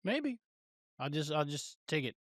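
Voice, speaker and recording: A man's voice: fairly high pitch, sing-song, a little slow, a bit bright, dry recording, studio quality. A man in casual podcast conversation as co-host, given to playful, self-deprecating banter.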